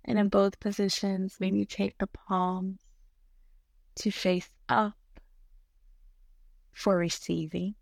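A woman guiding a meditation in a soothing, gentle manner, giving unhurried instructions with long pauses between short phrases. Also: medium pitch, flat delivery, gravelly, dry recording. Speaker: meditation guide. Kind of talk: guided meditation narration.

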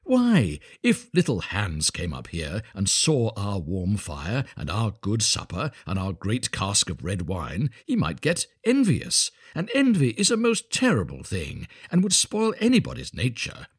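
A pompous, condescending miller played by a male reader from a storybook. His pitch swings widely as he moralizes in drawn-out, self-satisfied phrases. The recording is dry and close. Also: wildly swooping pitch, slightly rough, no room sound, exaggerated voice. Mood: stern, self-righteous. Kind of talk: storybook character voice.

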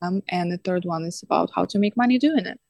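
A woman's voice: lowish voice, quick pace, vocal fry, a little mellow, no room sound. Woman, dry sound. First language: Russian. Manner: earnest, slightly non-native phrasing with fillers. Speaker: guest, coach and teacher. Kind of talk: casual podcast interview.

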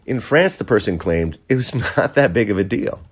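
A sarcastic American man rants through a podcast monologue, swearing freely. His voice sits fairly low but swings widely up and down as he mocks and jabs. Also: animated, normal speed, slightly rough. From United States. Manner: sarcastic, profane ranting. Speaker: podcast host. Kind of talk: opinion monologue.

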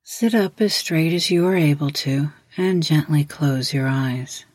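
A woman leading a guided meditation as host, giving measured, instructional prompts with long pauses. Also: sits low, darkish tone, breathy edge, no room sound.

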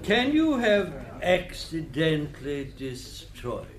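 An actor playing a probing, sceptical coroner in a radio drama, with an English accent and a formal, insistent questioning manner. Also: male voice, on the high side, very animated, takes it slowly.

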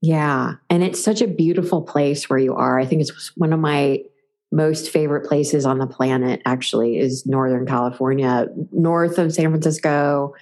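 Chatty female podcast host, slightly low voice, stuffy and nasal from a cold, talking over a video call. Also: moderate pace, a little mellow. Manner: upbeat, chatty, frequent ums. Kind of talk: casual podcast chat and announcements.

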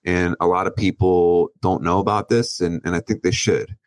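A man from Southern California, a guest on a podcast, telling his story in a relaxed way with small restarts. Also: sits low, normal speed, dry recording. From Oceanside, California, USA. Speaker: guest, business owner. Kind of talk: casual interview.

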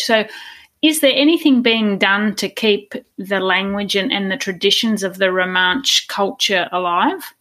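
A woman's voice: medium pitch, fairly bright tone. A woman hosting a podcast, interviewing in a conversational, polite and encouraging way. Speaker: podcast host.

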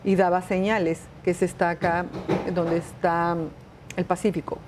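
A woman from Mexico speaks in a low, unhurried voice, working out her answers aloud with small fillers. She is a guest in a casual interview, and faint room noise sits under her voice. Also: level tone. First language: Spanish. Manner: thinks aloud with pauses and fillers. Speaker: guest, tour company owner.

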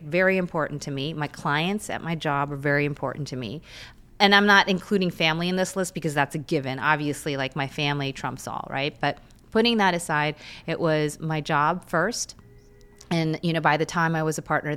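Female guest, thirties, Korean first language; candid and chatty, smooth voice pitched on the low side. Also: moderate pace, fairly bright tone. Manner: candid, chatty, with frequent fillers. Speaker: guest, lawyer and runner. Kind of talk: reflective interview answers.

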